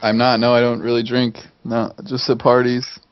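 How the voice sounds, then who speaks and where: A man's voice: medium pitch, completely flat delivery, moderate pace, clean tone, muffled recording. A man in his late twenties with an upstate New York accent, a podcast guest giving dry, understated answers. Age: 20s. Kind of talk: casual interview.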